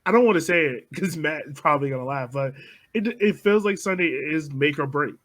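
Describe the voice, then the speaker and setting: A man's voice: a little high, brisk, fairly bright tone. A man co-hosting a casual sports podcast, easygoing and laughing along with the others. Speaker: podcast co-host.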